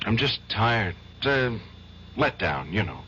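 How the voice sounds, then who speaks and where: An actor playing an earnest, troubled defense attorney in a 1970s radio drama, weary at times and urgently pleading at others. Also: male voice, fairly low pitch, sing-song, slow pace, pushed and bright, heavily acted. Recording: dull old radio recording, faint hiss.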